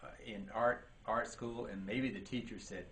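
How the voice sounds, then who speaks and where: A man's voice: lowish voice, fairly even intonation, normal speed, fairly bright tone. A man giving an instructional seminar talk as a leadership trainer, speaking emphatically and posing rhetorical questions. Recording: clearly audible background noise.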